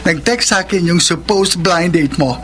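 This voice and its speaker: A man's voice: high-pitched, moderate pace, fairly bright tone. An effeminate young Filipino best friend for radio drama. He is breezy, girlish and full of teasing, with faint music under the voice. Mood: breezy, campy.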